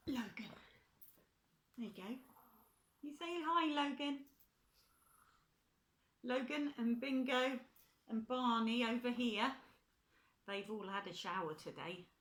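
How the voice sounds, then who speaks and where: Older woman reading slowly in an echoey room. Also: fairly high pitch. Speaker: grandmother reading a storybook. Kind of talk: children's story read aloud with chatty asides.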